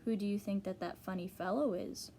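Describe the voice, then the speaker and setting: A woman teacher reading a children's storybook aloud, pausing to explain and ask young listeners questions. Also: medium pitch, warm tone, slight background noise.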